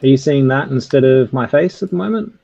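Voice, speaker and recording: A man's voice: medium pitch, animated, a little fast, vocal fry, mellow, muffled recording, a little hiss or hum. A man chatting casually and loosely in a roundtable on a video call.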